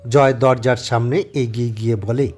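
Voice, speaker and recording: A man with a husky voice reading scripted dramatic narration as the storyteller of an audio story. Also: mid-range voice, fairly even intonation, moderate pace, darkish tone.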